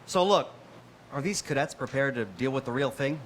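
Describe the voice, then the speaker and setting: A man's voice: mid-range voice, expressive, moderate pace, lightly acted. Man playing a casual, weary starship admiral. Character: weary middle-aged starship admiral, casual and self-deprecating. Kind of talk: comic stage reading. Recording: live stage reading, faint noise.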